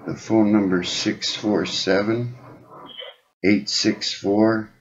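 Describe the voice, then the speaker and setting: A man's voice: lowish voice, level tone, takes it slowly. An insistent, rambling man making an informal complaint over the phone as a caller. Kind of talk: phone call, informal advocacy talk. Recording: dull lo-fi phone call recording.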